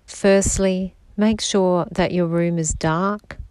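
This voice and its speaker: A woman guiding a sleep meditation in a slow, soft-spoken, soothing manner. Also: lowish voice, fairly even intonation, clean tone, dry recording. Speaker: meditation guide.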